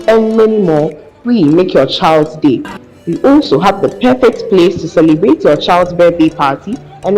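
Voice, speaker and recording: A man's voice: high-pitched, a little mellow. A man reading a scripted radio-style advertisement in an upbeat promotional tone. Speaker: advert voice-over. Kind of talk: scripted ad read. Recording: music bed behind voice.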